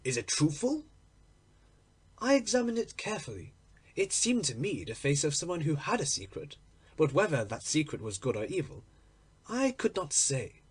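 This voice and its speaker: Higher-pitched man narrating with lively intonation. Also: normal speed, slightly rough. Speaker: audiobook reader. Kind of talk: expressive literary story narration.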